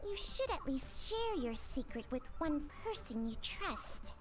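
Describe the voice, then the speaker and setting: A woman's voice: high voice, sing-song, measured pace, over music. A woman voicing a proud, ancient talking snake in a video game, blunt and chiding in an imperious manner. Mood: scolding. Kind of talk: scripted video game voice acting.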